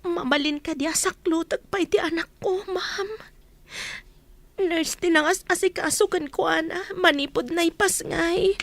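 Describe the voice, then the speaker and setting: A woman's voice: very high voice, fairly bright tone, exaggerated voice. A woman playing a distressed young mother in a radio drama, pleading in a tearful, plaintive voice. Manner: tearful, plaintive, drawn-out delivery.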